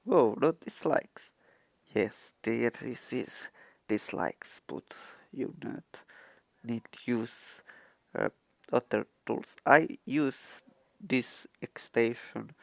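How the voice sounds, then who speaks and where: Young male non-native English speaker, halting and very slow, gravelly, close dry mic. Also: medium pitch, no room sound. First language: not English. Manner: halting broken English, many restarts. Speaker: tutorial vlogger. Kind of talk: rambling screen-recorded tutorial. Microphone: handheld microphone he keeps touching.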